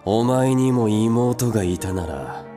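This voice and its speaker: An actor in a radio drama playing a coarse, hot-tempered older brother who is fiercely protective. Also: male voice, fairly low pitch, expressive, takes it slowly, music in the background. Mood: low menace. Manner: coarse, blunt rough speech. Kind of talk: Japanese radio drama acting.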